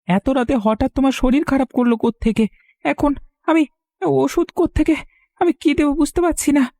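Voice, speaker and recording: A woman's voice: sits high, fairly even intonation, normal speed, dark tone, no room sound, clean studio sound. A woman voicing an earnest, caring orphaned village boy in a cartoon, with a pleading way of talking. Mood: concerned. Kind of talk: Bengali cartoon voice acting.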